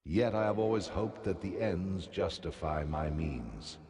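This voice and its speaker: An actor playing a grave, remorseful noble spiritual leader in a fantasy video game, speaking in a formal, measured manner. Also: male voice, deep voice, expressive, a little mellow. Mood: remorseful, sorrowful. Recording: echoey hall reverb, clear background ambience.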